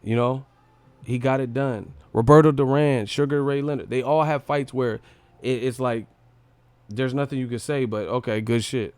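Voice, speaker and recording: A man's voice: medium pitch, normal speed, a little mellow, clear, resonant tone, no echo. A man hosting a casual livestream fight breakdown, blunt and opinionated, with slangy talk and plenty of swearing. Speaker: livestream host, boxing commentator.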